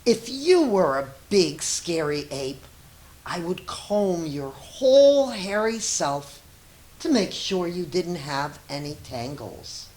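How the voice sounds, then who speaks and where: A woman's voice: lowish voice, very animated, slow, darkish tone. A woman voicing a loving, patient mother who reassures her small child in a storybook read-aloud. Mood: tender, reassuring. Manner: tender, emphatic reassurance. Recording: roomy echo with faint hiss.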